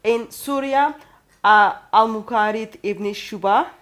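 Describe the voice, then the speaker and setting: A woman from Turkey, speaking English with a Turkish accent, co-presenting a discussion and often pausing and restarting as she talks. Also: on the high side, a bit bright. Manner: halting, with fillers and restarts. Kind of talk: studio discussion.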